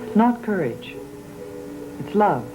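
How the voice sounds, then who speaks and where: A woman's voice: medium pitch, big pitch swings, takes it slowly, rough recording. An actress playing a resolute, unafraid young bride-to-be in a gothic soap opera, composed and firm in her delivery. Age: young adult. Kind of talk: 1960s-70s gothic soap opera acting. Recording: old TV soundtrack with background music.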